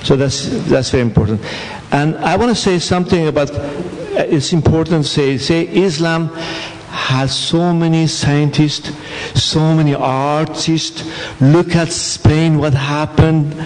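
A man with a somewhat high voice speaks emphatically from the audience. His voice rings with long reverb in a church hall. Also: darkish tone. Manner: emphatic, repeating himself. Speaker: audience member. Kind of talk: spontaneous remarks.

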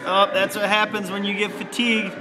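A male personal trainer with a slightly high voice, cheering on his client and counting reps aloud. His voice rings with long reverb off the hard walls of a large gym. Music plays clearly behind him. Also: flat delivery, fairly quick. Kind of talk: coaching and commentary. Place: gym studio, indoors. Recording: camera audio in a large echoing gym with music.

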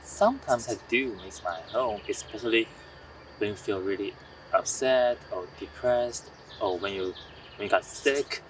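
An earnest young man from China speaks in a slightly low voice. He sits outdoors, a little away from the mic, with faint noise behind him. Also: measured pace, recorded from a distance, rough recording. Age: 20s. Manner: earnest, with some restarts. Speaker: master's student. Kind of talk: documentary interview. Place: outdoors on a bench among trees.

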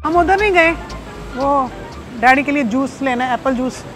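A middle-aged woman, the vlogger's mother, making brisk, practical remarks while shopping in a large supermarket. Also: high voice, music in the background. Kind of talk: casual family chat.

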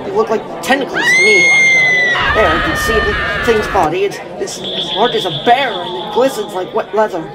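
An excitable eyewitness reporter acted by a solo performer in an old-time radio play. The delivery is urgent and stammering, full of awe and dread, at a medium pitch. A loud crowd murmurs behind. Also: moderate pace, heavy background noise. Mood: horrified. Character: excitable radio field reporter, awed and horrified.

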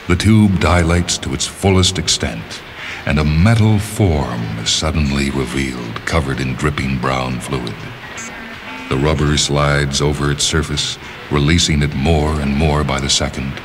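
An exceptionally deep male voice narrates literary fiction. He phrases each passage in a measured, deliberate way, leaving dramatic pauses. Faint music sits behind the reading. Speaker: story reader and narrator. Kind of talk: scripted narration of a literary short story.

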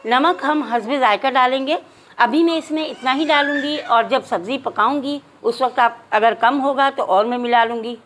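A woman's voice: high-pitched, moderate pace, a bit bright, slight background noise. A woman hosts a cooking channel. She walks viewers through a recipe step by step in her home kitchen, addressing them formally and counting out each measure aloud.

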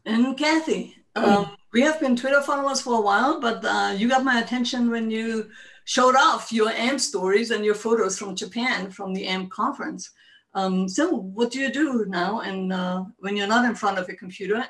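An older woman hosts a relaxed video call from her book-lined home study. She talks in a hesitant way, full of ums, and laughs easily. Her voice sits a little back from the mic. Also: fairly high pitch, moderate pace, distant mic, low-quality recording. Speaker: host. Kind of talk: casual video call interview.

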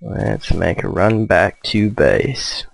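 Slow, creaky-voiced man playing a game. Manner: low-key, hesitant, musing to himself. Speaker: gamer, let's play host. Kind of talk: casual let's play commentary.

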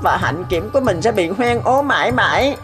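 A woman's voice: mid-range voice, animated, moderate pace, natural voice. Woman voicing an anxious, earnest cartoon schoolboy. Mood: guilty, fearful. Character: anxious schoolboys in a moral tale. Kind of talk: cartoon dubbing. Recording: loud guitar music behind.

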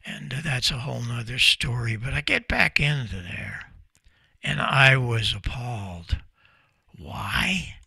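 A man's voice: mid-range voice, big pitch swings, takes it slowly, gravelly, pushed and bright, husky, no room sound. An older American man giving an informal talk as a storyteller, folksy and emphatic, close on a desk microphone. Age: 70s or older. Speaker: presenter, company founder. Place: small indoor ham radio room, seated at desk. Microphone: desk microphone close in front.

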